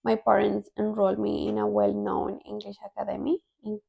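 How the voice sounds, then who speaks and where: Colombian woman with a very creaky voice. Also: medium pitch, little pitch movement, brisk, highs cut off. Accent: Colombian Spanish. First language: Spanish. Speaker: language-learning vlogger. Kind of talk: casual personal storytelling to viewers.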